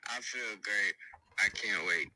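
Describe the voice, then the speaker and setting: A man's voice: lowish voice, a little slow, pushed and bright, dry recording, low-quality recording. A teenage male rapper from Venezuela answering interview questions in a laid-back, slangy way with mumbled restarts. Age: teens. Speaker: rapper guest.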